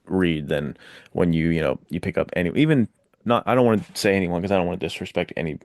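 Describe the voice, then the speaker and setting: Low gravelly dude, slangy podcast chat w/ his buddies, real dry sound. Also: fast talker, dry recording. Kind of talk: casual podcast book chat.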